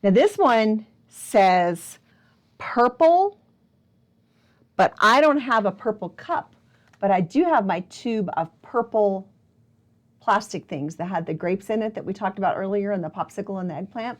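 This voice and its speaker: Animated, unhurried woman leading children's storytime. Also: mid-range voice. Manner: playful, prompting listeners with questions; exaggerated wondering and exclamations. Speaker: children's librarian and storytime host. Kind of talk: picture book storytime for young children. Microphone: speaking close to a camera.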